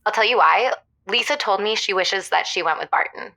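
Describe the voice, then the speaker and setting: A woman's voice: mid-range voice, fairly quick, creaky, sharp tone. A woman playing a flighty, lonely young woman calling a radio show, rambling with lots of filler words in a comedy drama. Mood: petty, defensive. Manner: rambling, filler-heavy, upspeaking chatter. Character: lonely, flighty young woman caller going stir crazy after breakup. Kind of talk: scripted comedy radio call-in acting.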